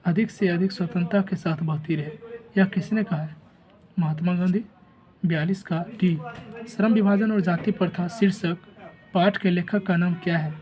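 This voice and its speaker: A man with a sore throat and a rough voice, steadily reading exam questions and giving each answer and option like a teacher. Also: sits high, dark tone, rough recording. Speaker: teacher going over an exam answer key.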